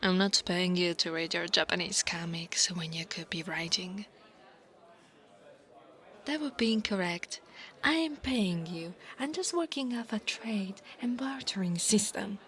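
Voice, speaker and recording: A woman's voice: mid-range voice, unhurried, fairly bright tone, natural voice. A woman playing a haughty, insecure young dragon girl bully with a sneering, taunting manner in an ASMR roleplay. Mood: smug, mocking. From Italy.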